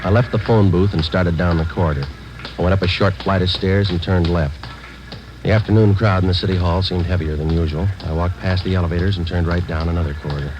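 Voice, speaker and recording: An actor playing a laconic, businesslike police detective with clipped, matter-of-fact speech in a 1950s radio drama. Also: male voice, low voice, steady tone, fast, lo-fi sound, music in the background, natural voice. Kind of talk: 1950s radio drama, first-person narration and dialogue. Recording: noisy old 1950s radio recording.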